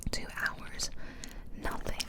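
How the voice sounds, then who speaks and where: A woman speaks entirely in a soft, breathy whisper, right up close to a stereo microphone. Her delivery is gentle and chatty, rambling along in a casual ASMR storytelling style. The voice stays unvoiced and intimate throughout. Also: slow. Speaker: ASMR video creator and gamer. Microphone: close stereo ear-to-ear microphone.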